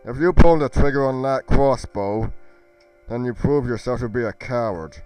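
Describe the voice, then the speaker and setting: A man's voice: mid-range voice, moderate pace, warm tone, clean tone, soft background music, natural voice, low-quality recording. A man playing a fierce, defiant fantasy warrior in a grandiose, declamatory manner.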